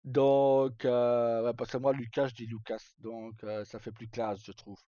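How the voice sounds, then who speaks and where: A man's voice: medium pitch, level tone, unhurried, dark tone. A man chatting casually to live viewers as a streamer, rambling and hesitant in colloquial speech with some swearing. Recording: dull lo-fi mic in echoey room.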